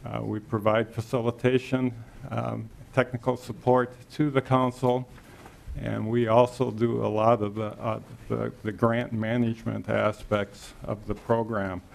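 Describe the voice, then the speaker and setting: Guy w gravelly creaky voice, state agency manager presenting formally at a hearing, polite and measured. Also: mid-range voice, flat delivery. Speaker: state agriculture department section manager. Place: legislative committee hearing room.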